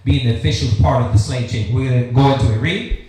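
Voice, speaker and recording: A man's voice: mid-range voice, fast talker, a little mellow. An American man preaching and teaching scripture in a confrontational street-talking style, firing rhetorical questions at his listeners. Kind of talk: fiery teaching sermon with call and response. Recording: very echoey room, faint noise.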